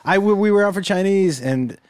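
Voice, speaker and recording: A man chatting casually on a podcast, telling comic stories with lively swings of pitch and bursts of laughter. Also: brisk, dry recording, studio quality. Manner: animated storyteller, bursts into high-pitched singing and laughter. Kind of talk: casual comedic chat.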